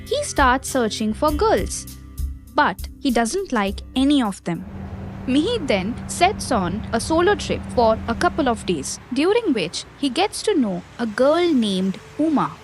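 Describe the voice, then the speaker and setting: A woman's voice: sits high, sing-song, a little slow, faint music behind. A woman narrating a scripted moral story for listeners.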